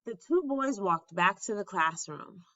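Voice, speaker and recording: A woman reading a children's story aloud in an expressive, deliberate storytelling manner. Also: mid-range voice, dry recording, muffled recording. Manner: animated, deliberate storytelling with acted dialogue.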